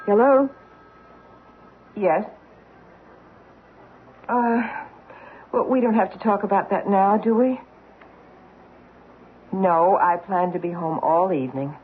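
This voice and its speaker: An American actress playing a wealthy, poised society lover in an old-time radio drama, speaking in a polished, coaxing, theatrical way. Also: female voice, medium pitch, slow, long pauses, close to an everyday voice. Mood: breezy, evasive. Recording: muffled, noisy old radio broadcast.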